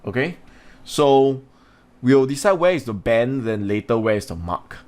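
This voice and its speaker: A man's voice: medium pitch, animated, unhurried, a little mellow. A man teaching a lesson, explaining and instructing as he comments on exam performance. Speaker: English teacher.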